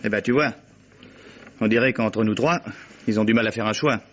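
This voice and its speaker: A man's voice: lowish voice, moderate pace, a little hiss or hum, lightly acted. An actor playing a self-assured, sardonic man under suspicion in a radio mystery, articulate and precise. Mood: mocking. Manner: articulate, precise, readily sarcastic. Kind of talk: French radio mystery drama acting. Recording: dull, muffled radio drama recording.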